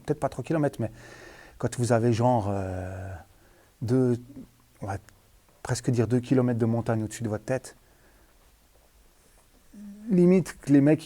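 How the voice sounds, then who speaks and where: Man, lowish pitch, soft dark timbre, casual vlog storytelling, colloquial, hesitant, trailing tags. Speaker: vlogger, former tunnel worker. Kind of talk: casual rambling storytelling to camera. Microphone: handheld at arm's length.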